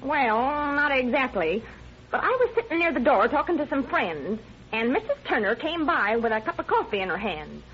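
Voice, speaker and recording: A woman's voice: a little high, very animated, normal speed, a bit bright. Folksy, indignant small-town American woman. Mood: cooperative, put out. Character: put-out, plain-spoken small-town woman witness. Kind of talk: old-time American radio drama acting. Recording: dull, noisy vintage radio recording.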